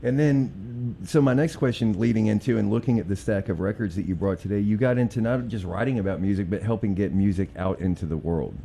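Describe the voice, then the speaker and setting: A man hosting a radio show, asking questions in a relaxed, conversational way. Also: fairly low pitch, a little fast, vocal fry, few pauses, slight background noise. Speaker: radio host. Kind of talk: casual radio interview.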